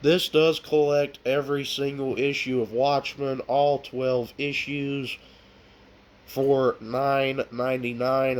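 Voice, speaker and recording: Slow, stuffy-nosed man chatting casually. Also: medium pitch, a little mellow. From United States. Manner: slow and unhurried, with frequent ums and uhs. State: stuffy nose from a cold. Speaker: collector showing off his books. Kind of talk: casual vlog talk.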